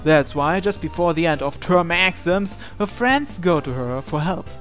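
A let's play host reads visual novel narration aloud and acts out the dialogue with expression. The voice sits at a middle pitch, with light game music playing behind it. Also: expressive, normal speed.

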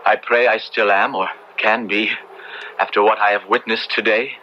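A man's voice: fairly low pitch, little pitch movement, moderate pace, natural voice. A man playing an earnest, principled young clergyman in an old radio drama, speaking formally and with passion. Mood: troubled, earnest. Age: young adult. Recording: muffled, faintly noisy old radio recording.

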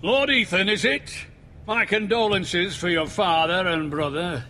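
An actor playing a hostile, sneering middle-aged lord in a dramatic fantasy video game. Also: male voice, sits high, unhurried, sharp tone, a little hiss or hum. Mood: mock courteous. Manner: sneering, blustering, profane. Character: hostile, foul-mouthed middle-aged lord, gloating and quick to rage.

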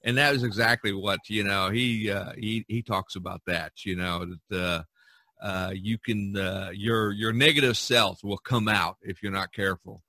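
Bright-voiced man in his sixties, podcast host. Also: on the low side, steady tone, dry recording. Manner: halting, repeats words. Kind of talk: casual, rambling podcast chat.

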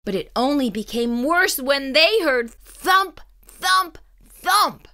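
A woman's voice: high-pitched, expressive, slow, very noisy. A woman reading a children's story aloud in a suspenseful, theatrical and emphatic way. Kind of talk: dramatic read-aloud narration.

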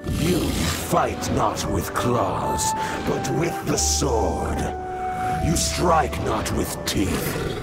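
An ancient giant wolf spirit in a fantasy game. He speaks very slowly in stern, archaic phrases, with a grand delivery that sweeps up and down. Loud music plays behind him. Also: mid-range voice, big pitch swings. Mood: grave, solemn. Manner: slow, grand, archaic, commanding. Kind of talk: solemn video game voice acting.

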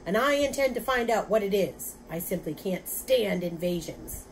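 An officious storybook wild boar, acted by a woman, laying down orders in a middle-pitched voice. The boar is suspicious and bossy. Noise sits behind the voice. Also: expressive, moderate pace, lightly acted, poor audio. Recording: clear background noise.

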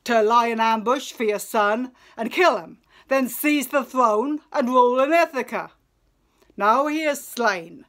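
A woman's voice: a little high, a bit bright. A woman voicing a frightened male suitor who pleads in a glib, urgent, placating way.

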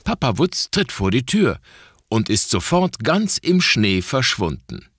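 A man's voice: mid-range voice, big pitch swings, a little slow, a bit bright, slightly rough, dry recording, clean studio sound. A man narrating a children's audio play from a script.

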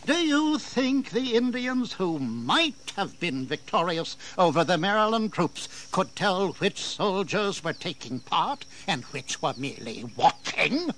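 An actor playing an indignant, authoritative colonial governor with bitter sarcasm and an oratorical, emphatic delivery in a historical audio drama. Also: male voice, sits high, big pitch swings, unhurried, hardly any pauses, fairly bright tone, heavily acted. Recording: 1980s audio drama with background noise.

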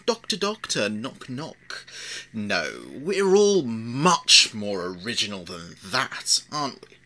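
A sinister man , acted out by a male performer, delivers a horror monologue for an audio drama. His delivery is very slow and theatrical, with bright, sharp tone and wide swings of pitch. He drops into whispers as he goes. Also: heavily acted, poor audio. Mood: sly, sardonic. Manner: theatrical, deliberate, dropping into whispers.

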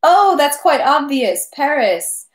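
A woman's voice: medium pitch, moderate pace, roomy sound, natural voice. A woman reading as a merry boastful French captain. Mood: expansive. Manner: lively, talks ceaselessly, good-natured bragging. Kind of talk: audiobook character reading.

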